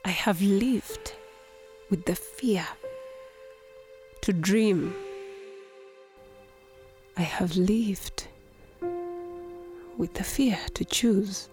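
A woman playing an anguished young woman in a radio play, speaking in a halting, dramatic way. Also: medium pitch, a little mellow. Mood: anguished, brooding. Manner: slow, halting, dramatic delivery. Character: anguished young woman singer, shamed daughter pleading with parents. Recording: music behind the voice. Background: music.

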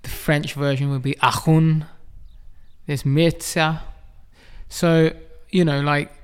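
Smooth-voiced English man in halting chat. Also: a little high, little pitch movement. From England. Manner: halting, many restarts, chuckles often. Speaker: guest artist. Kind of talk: casual interview chat.

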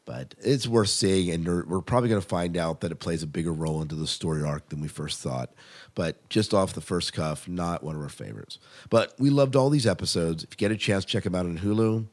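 Very low-voiced man hosting a podcast. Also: brisk. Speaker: podcast host. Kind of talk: casual TV review chat.

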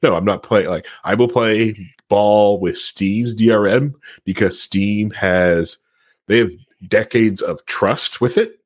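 A man with a fairly low, slightly rough voice talks casually as a gaming podcast co-host. He is emphatic and opinionated, often doubling back on a phrase to drive it home. The sound is close and dry, with no room around him. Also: takes it slowly, no room sound.

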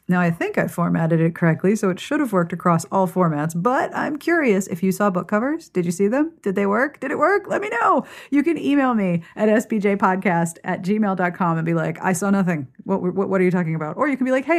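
A woman hosting a podcast in an informal, playful, conversational way. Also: medium pitch. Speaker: podcast host. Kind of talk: chatty podcast hosting and sign-off.

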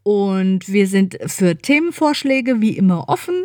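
A woman's voice: mid-range voice, animated, unhurried, darkish tone, dry recording. A woman co-hosting a podcast, chatting in a casual, informal way into a close microphone. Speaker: podcast co-host. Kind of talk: casual chat.

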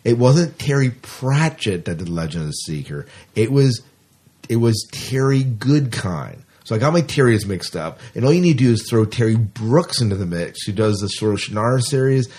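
A man's voice: medium pitch, animated, normal speed, darkish tone, breathy edge. A middle-aged man hosting a podcast, chatting informally and reading out entertainment news with a fan's enthusiasm. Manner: informal, enthusiastic fan talk. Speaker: podcast host.

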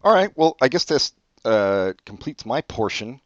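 A man's voice: medium pitch, very animated, moderate pace, dry recording. A man co-hosting a podcast, chatting casually in a dry, rambling way and swearing offhand. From born in Brazil, raised in California. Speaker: podcast co-host. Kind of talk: casual comedic chat. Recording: dull dry lo-fi audio.

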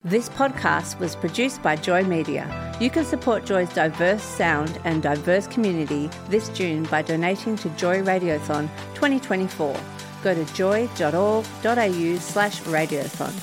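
Female announcer, lowish voice, upbeat promo read, music bed. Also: normal speed.

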